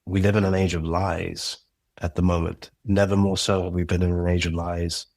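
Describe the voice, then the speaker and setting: A man with a somewhat low voice answers interview questions in a reflective, unhurried way, often restarting a thought as he works it out aloud. The sound is dry and close. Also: little pitch movement, vocal fry, a little mellow, no echo. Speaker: podcast guest, audio drama creator. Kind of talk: casual conversational interview.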